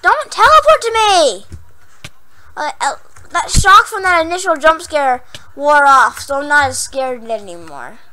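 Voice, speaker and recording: A young woman playing a horror game, hoarse from losing her voice, jumpy and prone to yelps and squeals when startled, then chatty again. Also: high-pitched, big pitch swings, a little slow, a crowd in the background. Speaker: gaming channel host. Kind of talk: Minecraft horror map let's play.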